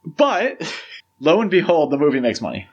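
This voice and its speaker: A man's voice: fairly high pitch, huge pitch swings, moderate pace, muffled recording. A man co-hosting a podcast, discussing film casually in an analytical, explanatory way. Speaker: podcast co-host.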